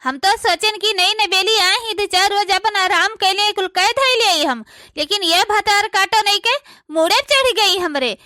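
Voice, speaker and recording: A woman's voice: very high voice, unhurried, pushed and bright, no room sound, clean studio sound, full character voice. A woman playing a quarrelsome, self-pitying village housewife in a cartoon comedy, complaining and scolding with an Awadhi accent. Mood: indignant scolding.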